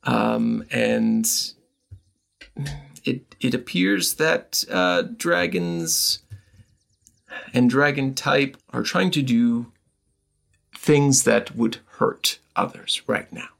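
A man voicing a protective, troubled mother in a tabletop game, speaking carefully and haltingly. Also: mid-range voice, expressive, gravelly, fairly bright tone, soft background music, heavily acted. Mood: worried, guarded.

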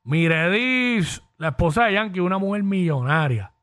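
Bright, high-pitched Puerto Rican man. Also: normal speed. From Puerto Rico. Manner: animated, slangy, peppered with English phrases. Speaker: talk show host. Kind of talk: casual entertainment gossip chat.

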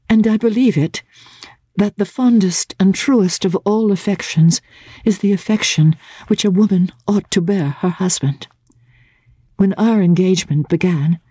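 A woman voicing an anxious, earnest young gentlewoman in a classic-novel audiobook, speaking haltingly and repeating her words. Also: mid-range voice, slightly rough, dry recording, clean studio sound, voice pushed up.

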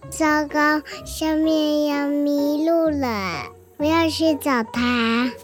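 A high, slow little boy. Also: faint music behind. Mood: worried. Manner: slow, earnest childlike delivery. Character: little boy who cannot sleep, curious. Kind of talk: children's radio drama.

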